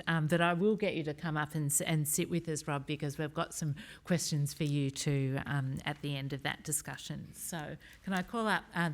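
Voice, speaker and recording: A woman hosts a panel discussion with an enthusiastic, chatty manner, scattering fillers as she goes. Her voice sits a little low. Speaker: moderator. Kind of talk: panel moderation.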